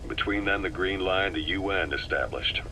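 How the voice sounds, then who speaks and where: An actor playing a composed, worldly military operative giving a measured briefing in a video game. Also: male voice, low voice, sharp tone, a little hiss or hum. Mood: matter-of-fact. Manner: measured, matter-of-fact, explanatory.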